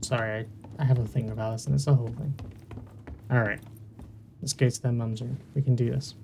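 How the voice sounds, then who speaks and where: Gaming host: southern English male, middle pitch, fry-heavy, chatty and self-deprecating, slightly noisy mic. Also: fairly even intonation, brisk, dark tone. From southern England. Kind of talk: casual let's play commentary. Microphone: poor-quality mic with uneven levels. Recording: poor mic with faint noise.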